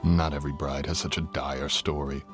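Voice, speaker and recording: A man's voice: rumbling low, little pitch movement, moderate pace. A man playing a courtly, teasing, tender dragon king in human form, speaking intimately as a lover in an ASMR roleplay. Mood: candid, wry. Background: music.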